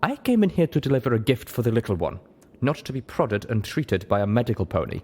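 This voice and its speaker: A man's voice: medium pitch, sing-song, fast, dark tone, husky. Man voicing a guarded, formal zebra mare. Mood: curt. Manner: formal, stilted phrasing.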